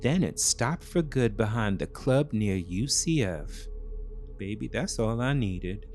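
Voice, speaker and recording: A man narrating a scripted first-person thriller in short, measured, dramatic phrases. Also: fairly low pitch, animated, darkish tone, soft background music.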